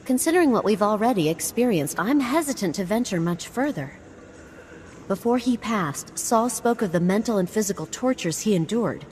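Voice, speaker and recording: A woman voicing a scholarly, wry explorer in a video game, speaking in a measured, articulate way. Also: mid-range voice, animated, a little mellow, slight background noise, lightly acted. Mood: thoughtful, wary. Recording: game music underneath.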